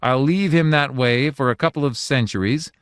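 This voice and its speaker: A man's voice: mid-range voice, normal speed, clean tone, dry recording, clean studio sound, voice pushed up, natural voice. A man voicing a sour, lofty little alien god. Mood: aloof. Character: small one-eyed alien god in a box, aloof and petty.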